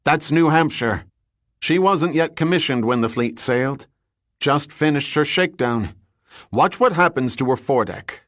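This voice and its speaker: A man's voice: fairly high pitch, lively intonation, normal speed, a bit bright, dry recording, studio quality, voice pushed up. A man playing a blunt, commanding navy sea captain in an audiobook, emphatic and instructing as he explains. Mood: excited, exasperated. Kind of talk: dramatic audiobook character reading.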